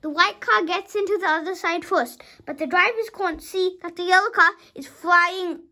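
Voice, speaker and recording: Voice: extremely high pitch, moderate pace, pushed and bright. A young child of about five reading a storybook aloud dramatically, sometimes stumbling over words and rereading them.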